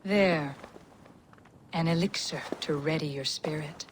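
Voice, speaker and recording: An actress playing a calm, mysterious Norse seeress in a video game, measured and cryptic in her delivery. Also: deep voice, noisy background. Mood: calm, knowing. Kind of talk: video game cutscene acting.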